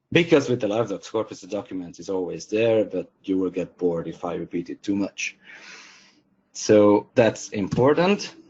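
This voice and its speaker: A man whose first language is Hungarian presents a software webinar, pausing often with fillers and restarting sentences. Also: lowish voice, husky, highs cut off. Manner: hesitant, many fillers and restarts.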